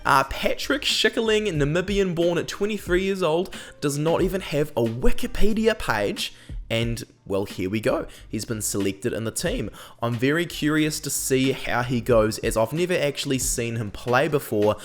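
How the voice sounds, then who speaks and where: A man's voice: a little high, animated, moderate pace. A young man with a New Zealand accent, hosting a rugby analysis channel in a chatty, opinionated way, peppered with "um", while still getting over a cold. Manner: chatty, frequent "um" fillers, opinionated asides. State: recovering from a cold. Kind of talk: casual scripted commentary.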